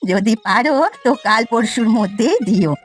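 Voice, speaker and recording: A woman voicing a stingy, sharp-tongued old village woman in a cartoon, with a drawn-out way of talking. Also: on the high side, expressive. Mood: wheedling. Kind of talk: Bengali village cartoon voice acting.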